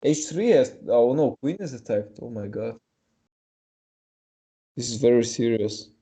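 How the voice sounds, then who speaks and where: A man's voice: mid-range voice, expressive, a little slow, dark tone, husky. A young man with a Russian accent, a native Russian speaker, doing live chess commentary in English, chatty and hesitant with many fillers.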